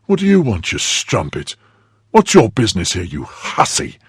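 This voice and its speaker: A man voicing a startled, indignant young manservant in an audiobook, exclaiming in a shrill, blustering way. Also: huge pitch swings, moderate pace, slightly rough, no room sound, studio quality, exaggerated voice. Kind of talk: audiobook character acting.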